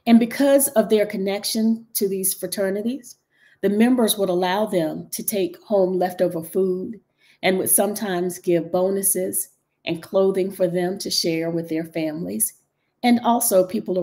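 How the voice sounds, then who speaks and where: A middle-aged woman from North Carolina giving a measured, earnest local history talk over a video call from her dining room. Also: mid-range voice, fairly even intonation, mellow. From Chapel Hill, North Carolina. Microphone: video call webcam.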